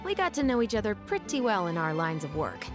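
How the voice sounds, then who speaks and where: An actress playing a dutiful, wary patrol captain in a game, speaking in a dry, measured, skeptical way. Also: female voice, mid-range voice, very animated, natural voice. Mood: explaining. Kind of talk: game cutscene voice acting. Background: game music.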